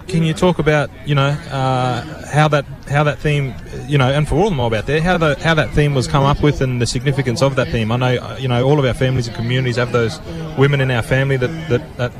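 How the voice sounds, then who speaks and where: An Australian man hosting a radio interview in a friendly, informal way with many fillers, broadcasting outdoors at a public event. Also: mid-range voice. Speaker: radio host. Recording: outdoor broadcast with crowd murmur.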